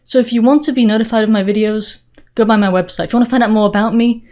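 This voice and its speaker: A woman's voice: on the high side, steady tone, fast talker, darkish tone. A woman from England with an accent mixing Northern English and Southern American, speaking earnestly and emphatically straight to viewers as an opinionated commentary host. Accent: mix of Northern English and Southern US. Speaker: political commentary video host. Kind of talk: direct-to-viewer channel introduction monologue.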